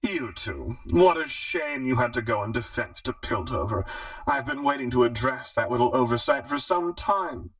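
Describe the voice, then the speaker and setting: A man's voice: mid-range voice, lively intonation, normal speed, a bit bright, dry recording, recorded from a distance, low-quality recording. A man voicing a smug, menacing female crime boss in an audiobook, with a condescending, mocking drawl. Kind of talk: audiobook character dialogue.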